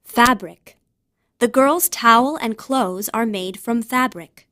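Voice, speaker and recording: A woman with a fairly high voice reads out words and example sentences for a lesson. The sound is dry, with no room around it. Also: slow pace, sharp tone, no echo. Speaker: vocabulary narrator. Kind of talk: scripted vocabulary read-aloud with example sentences.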